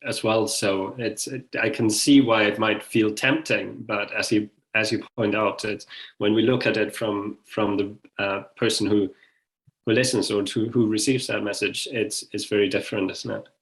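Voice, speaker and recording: A man facilitates an online webinar discussion. His voice is noticeably gravelly with a lot of fry, and he phrases things gently and invitingly. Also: fairly low pitch, steady tone, normal speed, low-quality recording. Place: online video call.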